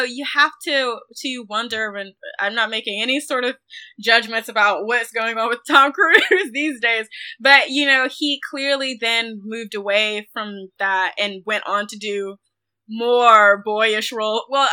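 A woman with a fairly high, bright voice chats about film as a podcast co-host. Her delivery is casual, opinionated and emphatic. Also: unhurried. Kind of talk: casual, conversational film discussion.

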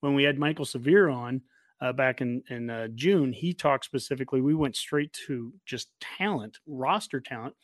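A man's voice: mid-range voice, normal speed, no room sound, studio quality. An American man hosting a casual sports discussion, talking in an emphatic, opinionated way. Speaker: podcast host.

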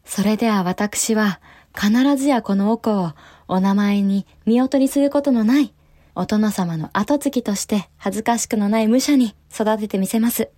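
A woman's voice: medium pitch, normal speed, dark tone, no room sound, clean studio sound, lightly acted. A female actor playing a devoted, resolute young samurai wife and mother, speaking politely and formally in a historical audio drama. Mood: proud, tender. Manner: polite, formal period speech.

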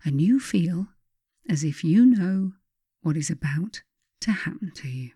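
A woman reading her own self-help writing aloud as scripted narration, in a measured, emphatic, instructive manner. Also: fairly low pitch, animated, mellow, breathy edge, no echo, studio quality.

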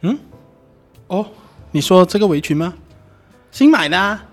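A man plays a playful, doting boyfriend in a radio drama, his voice high for a man and lively in its swings. He has a Malaysian accent and a teasing, coaxing way of talking, with faint music behind. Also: unhurried. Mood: cheerfully doting. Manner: teasing, coaxing, sing-song particles. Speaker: amateur voice actor. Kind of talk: Chinese radio drama acting.

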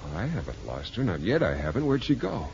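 A man's voice: low-pitched, wildly swooping pitch, fast, creaky, darkish tone, exaggerated voice. An actor in a 1950s radio drama playing an earnest, lovestruck man who stammers and presses urgently. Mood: worried. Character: lovestruck, insistent young hospital patient. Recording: noisy, dull 1950s radio recording.

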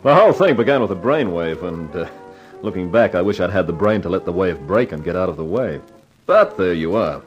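An actor playing a slick, cocky advertising man in a hardboiled 1950s radio drama. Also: low voice. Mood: wry, rueful. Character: brash, wisecracking advertising man with an eye for women. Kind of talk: 1950s radio drama acting, hardboiled first-person narration.

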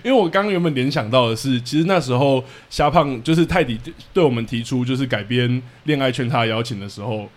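A man's voice: medium pitch, lively intonation, fast talker, few pauses. A man with a Taiwanese accent co-hosting a podcast in a casual, chatty interview style. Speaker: podcast co-host.

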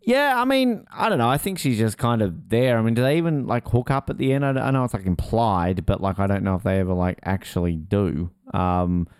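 A man co-hosts a casual podcast in a very animated voice. His delivery rambles in a jokey way, and the sound is dry, with little room echo. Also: lowish voice, brisk, few pauses, clear, resonant tone. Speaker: podcast co-host. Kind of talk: casual chat.

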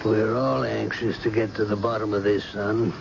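A man's voice: on the low side, little pitch movement, moderate pace, vocal fry. An actor playing a fatherly, obliging police detective in a vintage radio drama. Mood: kindly, assured. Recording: dull, noisy old radio recording.

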